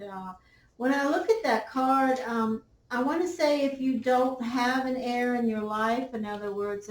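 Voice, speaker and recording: A woman doing a tarot reading, losing her voice a little, who talks slowly with many fillers and pauses. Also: on the high side, a little mellow, far from the mic. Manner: slow, halting, many fillers. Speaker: tarot reader. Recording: roomy, off-mic, faint ambience.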